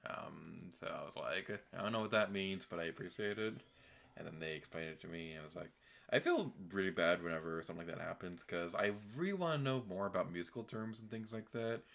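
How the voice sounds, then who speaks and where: A young man with a deep voice giving chatty, self-deprecating commentary while streaming a game. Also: lively intonation, brisk, vocal fry, fairly bright tone. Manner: chatty and self-deprecating, with filler words and laughs. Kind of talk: casual gameplay commentary.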